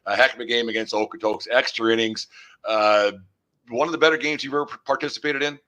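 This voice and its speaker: A man's voice: mid-range voice, fairly even intonation, fast, pushed and bright. A man hosting a casual sports interview, chatty and rambling as he circles his way into questions. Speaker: podcast host and interviewer.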